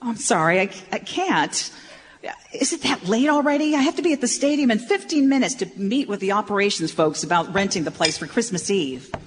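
A woman's voice: medium pitch, sing-song, fairly quick, close to an everyday voice. A woman acting in a live radio play as an eager, earnest employee of an eccentric boss. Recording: live stage, long reverb, clear noise.

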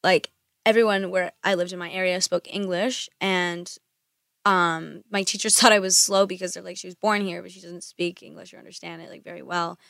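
A woman's voice: lowish voice, fairly even intonation, brisk, gravelly, fairly bright tone, dry recording, studio quality. Chatty young woman singer, Spanish native. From United States. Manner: chatty, laughs often, many "like" fillers. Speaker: singer guest. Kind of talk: casual interview chat. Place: radio studio. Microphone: studio mic.

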